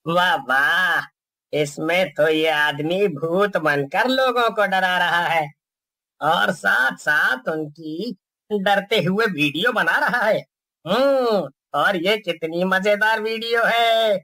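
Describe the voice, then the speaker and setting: A bright, boastful lazy village lad. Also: male voice, sits high, slow pace, dry recording, clean studio sound, full character voice. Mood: gleeful. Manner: boastful, gleeful. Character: lazy young village man dreaming of fame. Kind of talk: cartoon voice acting.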